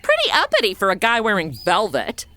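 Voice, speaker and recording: A woman's voice: medium pitch, huge pitch swings, fairly quick, bright, forceful tone. A woman playing a sassy, sarcastic modern wisecracker in a comedic audio drama. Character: sassy modern American woman among medieval outlaws.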